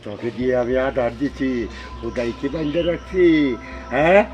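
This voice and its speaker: A man's voice: medium pitch, animated, brisk, faint music behind, lightly acted. A man playing a scheming, power-hungry sorcerer who serves a ghost, theatrical and colloquial, in an amateur horror film. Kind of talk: amateur horror-comedy short film acting.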